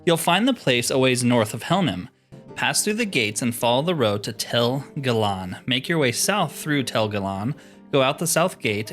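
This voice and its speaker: A man voicing a gruff, boastful fantasy adventurer from a video game, chatty and self-assured as he tells his story. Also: medium pitch, a bit bright, natural voice. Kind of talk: reading fantasy game dialogue aloud.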